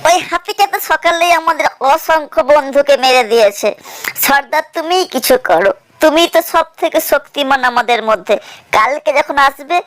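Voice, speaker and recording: A woman voicing an excitable, loyal little worker ant in a children's cartoon, earnest and urgent. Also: sits high, normal speed, natural voice. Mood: urgent pleading.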